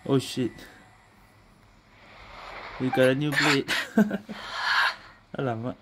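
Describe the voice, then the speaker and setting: Very low-voiced woman gaming. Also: lively intonation, extremely slow, heavy background noise. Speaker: gamer commenting on own playthrough. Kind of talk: casual game commentary. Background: game music.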